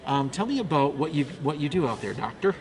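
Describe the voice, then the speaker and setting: A man hosting an interview, a talkative and animated storyteller in casual chat. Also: a little high, quick pace, a crowd in the background, rough recording. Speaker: interview host.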